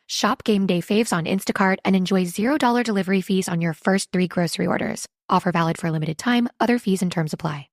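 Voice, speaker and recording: Woman doing a scripted ad read, close dry sound, medium pitch. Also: quick pace, hardly any pauses, dry recording. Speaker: advert voice-over.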